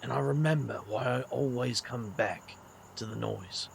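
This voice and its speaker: An actor playing a weary, kindly middle-aged British magician with a gravelly voice and a slangy, wry way of talking in an audio drama. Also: male voice, medium pitch, a little slow, a little hiss or hum. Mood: gentle, earnest.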